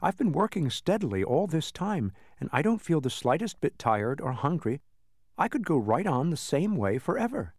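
A man's voice: a little high, very animated, normal speed, darkish tone, no room sound, clean studio sound, pitched above the performer's own voice. A man voicing an earnest, polite young boy in a storybook, with a mild, puzzled manner.

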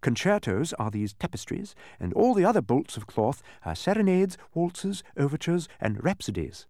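A man acts out a proud, stubborn storybook lady in a high, swooping voice. She is imperious and impatient, instructing as if she owns the place. The sound is dry and close. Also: sing-song, normal speed, a little mellow, no echo. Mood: bright, showing off. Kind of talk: storybook character voice.

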